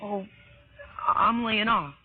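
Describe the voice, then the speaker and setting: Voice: medium pitch, slow, breathy edge. A performer playing an earnest, loyal, anxious young son, pleading hesitantly in an old-time radio drama. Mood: downcast. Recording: tinny old radio broadcast, music behind.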